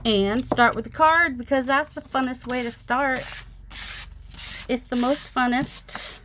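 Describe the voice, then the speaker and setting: A woman's voice: sits high, measured pace, background noise. A woman in her forties with a youthful, childlike voice, chatting casually with rambling, self-teasing asides while she paints at a home craft table. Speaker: mixed media artist. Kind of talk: casual crafting vlog narration. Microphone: overhead camera, hands only.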